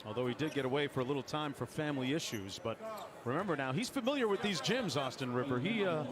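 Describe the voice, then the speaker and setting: A man's voice: mid-range voice, expressive, quick pace, crowd noise. A man doing live play-by-play commentary of a basketball game for television. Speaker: play-by-play announcer.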